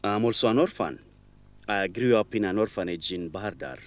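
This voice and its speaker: A man's voice: fairly low pitch, a little fast, no room sound, natural voice. A man acting a serious, direct young student in a radio drama. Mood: guarded. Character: serious, guarded young Ethiopian student, an orphan.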